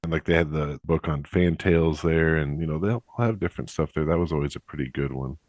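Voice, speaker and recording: A young man hosting a podcast, chatting in a relaxed, upbeat, conversational way. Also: a bass voice, a little fast, no room sound, clean studio sound. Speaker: podcast host. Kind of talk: casual podcast chat.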